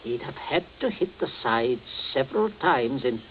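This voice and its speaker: A man's voice: medium pitch, big pitch swings, moderate pace, slight background noise. An actor playing a stern, domineering middle-aged family man in a 1940s radio drama. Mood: scolding. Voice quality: stern, commanding. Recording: lo-fi old radio broadcast.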